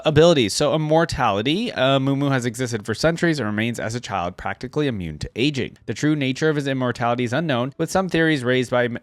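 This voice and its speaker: A man's voice: mid-range voice, dry recording. A man hosting a fan reaction video, reading aloud and commenting in an informal, conversational way as he thinks aloud.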